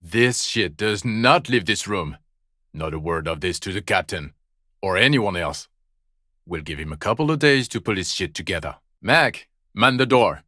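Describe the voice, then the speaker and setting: An actor playing a bitter, weary police detective in a video game, sardonic and exasperated. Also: male voice, deep voice, lively intonation, normal speed, sharp tone, dry recording, studio quality, exaggerated voice. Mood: angry, despairing. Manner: sardonic, exasperated, foul-mouthed. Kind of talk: dramatic video game acting.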